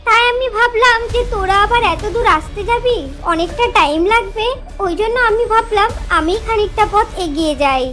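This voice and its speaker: The disguised villain of an animated fairy tale, a shrill false queen hustling and wheedling greedily, music faint behind. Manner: hurried, wheedling, repetitive urging. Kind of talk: children's cartoon voice acting.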